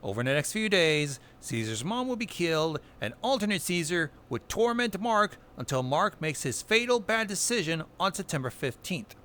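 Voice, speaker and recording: A man's voice: sits high, sing-song, moderate pace, few pauses, bright, forceful tone, slight background noise. A man hosting a narrated recap, with wry asides and casual swearing. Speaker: video host. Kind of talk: narrated recap of horror web series. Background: music bed.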